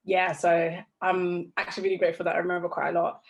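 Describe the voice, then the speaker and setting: A young woman from Zimbabwe answering interview questions over a video call, hesitant with many ums and soft laughs. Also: fairly low pitch, monotone, quick pace, creaky. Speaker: guest.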